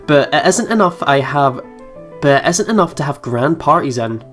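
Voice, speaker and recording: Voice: mid-range voice, lively intonation, normal speed. A chatty, reactive game player giving casual commentary and reading game text aloud. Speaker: let's play host. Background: soft game music.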